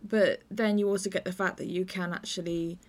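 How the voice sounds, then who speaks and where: A woman hosting a podcast, discussing drama in a measured, analytical way. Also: on the low side, fairly even intonation. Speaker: podcast host. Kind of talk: discussing an audio play.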